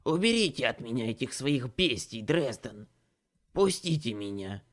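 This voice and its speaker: A man's voice: medium pitch, very animated, unhurried, fairly bright tone. A man voicing a cowardly young changeling who whines and pleads in a panic, read as an audiobook character. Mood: defiant.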